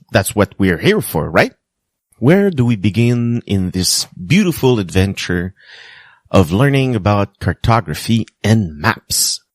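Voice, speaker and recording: Slow, lively man. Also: on the low side, husky, dry recording. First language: French. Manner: earnest, self-deprecating, light humor. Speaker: podcast host. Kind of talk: personal storytelling monologue.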